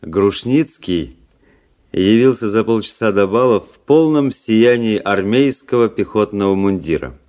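An actor playing a proud, cynical, world-weary young aristocratic officer in a Russian radio drama, speaking in a measured, rhetorical way. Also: male voice, on the low side, animated, darkish tone. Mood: coolly reflective.

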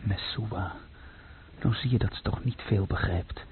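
A man's voice: fairly low pitch, unhurried, lightly acted. Actor as brooding man, muffled noisy radio recording. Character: brooding, bitter man absorbed in inner thoughts. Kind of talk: radio play monologue.

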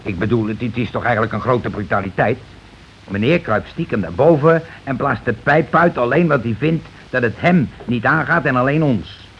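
An actor playing a domineering, self-justifying working-class husband in a radio play, talking in an insistent, colloquial, repetitive way. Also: male voice, medium pitch, normal speed, lightly acted. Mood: self-righteous. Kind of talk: Dutch radio play acting. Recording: dull, noisy old radio recording.

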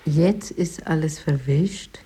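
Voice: mid-range voice, slow, mellow, slight background noise. A poetry reader reciting verse in short, separated phrases. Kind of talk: slow, staggered poetry recitation. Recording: music under the voice.

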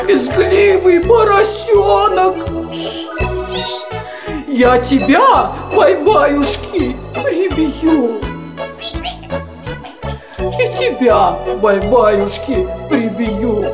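A woman playing a lullaby singer in a children's tale, half singing in a slow theatrical style. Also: high voice, lively intonation, exaggerated voice. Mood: menacing. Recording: echoey, music under the voice.

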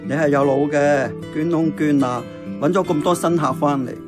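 A man playing an earnest, thoughtful uncle who runs a diner in a radio drama, with a Hong Kong accent and a measured, reflective manner. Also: a little high, fairly even intonation, natural voice. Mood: gently firm. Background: music.

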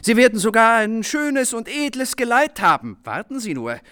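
An actor playing a pompous, indignant bourgeois gentleman in a German radio play, theatrical in his delivery. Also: extremely high pitch, wildly swooping pitch, normal speed, smooth, exaggerated voice. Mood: sarcastic.

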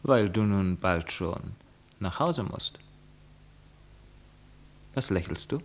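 A man's voice: deep voice, lively intonation, slow, heavily acted. A man playing a cool, mocking young lover. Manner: sarcastic, dismissive verse speaking.

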